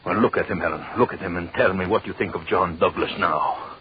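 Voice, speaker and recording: A man acting a vengeful, deranged scientist in an old-time radio horror play, with a brooding, halting, theatrical delivery. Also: low-pitched, lightly acted. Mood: cold, menacing. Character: vengeful deranged male scientist gloating over his victims. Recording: dull, noisy old radio recording.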